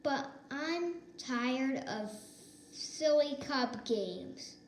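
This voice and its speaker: A woman's voice: high voice, lively intonation, very slow, a little hiss or hum, full character voice. A woman voicing a discouraged, sulky young male lion cub in a read-aloud storybook, in an echoey room. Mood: sulky, dejected.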